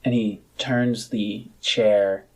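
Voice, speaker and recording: Slow-talking, low-voiced man running a game. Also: fairly even intonation, a little mellow, poor audio. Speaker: game master. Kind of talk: narrating a tabletop roleplaying game.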